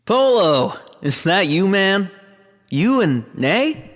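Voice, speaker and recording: A man's voice: sits high, sing-song, takes it slowly, a bit bright, heavily acted. A man playing a curious, sceptical roommate in casual audio drama dialogue. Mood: friendly surprise.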